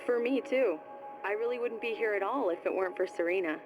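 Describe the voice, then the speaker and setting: An actress playing a guarded, grieving photographer who speaks quietly and haltingly. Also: female voice, medium pitch, lo-fi sound, over music, close to an everyday voice.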